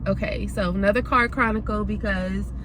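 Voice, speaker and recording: A woman's voice: mid-range voice, fairly even intonation, moderate pace, background noise. Stuffy-nosed mom vlogging from her car. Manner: chatty, lots of ums, addresses viewers as y'all. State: stuffed up from a cold. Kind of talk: casual vlog update. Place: parked car.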